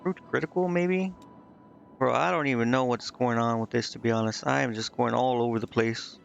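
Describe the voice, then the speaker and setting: A man's voice: mid-range voice, steady tone, a little fast, vocal fry, muffled recording, slight background noise. A man streaming a video game, thinking aloud in casual commentary and muttering indecisively to himself.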